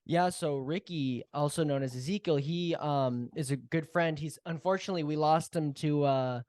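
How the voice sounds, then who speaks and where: A man with a slightly high voice talks as a guest on a podcast. His manner is humble and earnest, in casual conversation. The sound is dry, with little room to it. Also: normal speed, a little mellow, no room sound. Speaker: podcast guest, audio drama co-creator.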